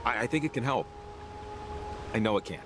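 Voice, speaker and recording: A man playing an earnest, hesitant radio enthusiast in a video game, speaking naturally in halting, self-interrupting phrases. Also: mid-range voice, animated, noisy background, natural voice. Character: earnest, hesitant male radio-technology enthusiast, eager to explain.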